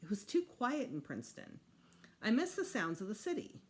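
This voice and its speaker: A woman reads a children's story aloud like a teacher to her students. Her voice sits at a medium pitch and moves up and down in a lively, expressive way. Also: normal speed.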